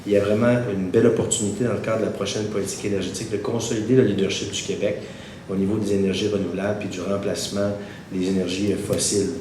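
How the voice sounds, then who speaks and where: A man with a Québécois accent speaks in a fairly low, slightly congested voice, his cold audible as he reads a formal statement. He moves at a measured pace and fills gaps with hesitations. The room around him is echoey, with a faint rumble underneath. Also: flat delivery, darkish tone. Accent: Québécois French. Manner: measured, many "euh" hesitations. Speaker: wind energy centre spokesman at a press conference.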